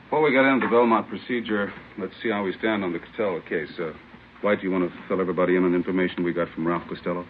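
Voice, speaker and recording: An actor playing a courteous, authoritative chief of detectives in an old radio drama, brisk, with small hesitations. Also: male voice, low-pitched, steady tone, natural voice. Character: commanding, courteous male chief of detectives chairing a meeting. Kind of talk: 1950s radio acting. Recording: noisy, muffled old radio recording.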